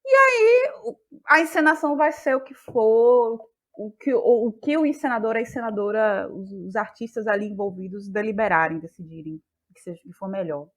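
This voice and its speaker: A woman with a Bahian Brazilian accent talking reflectively in an interview, feeling her way haltingly through her thoughts. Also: on the high side, expressive. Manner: thoughtful, halting, searching for words. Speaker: guest, playwright and actress.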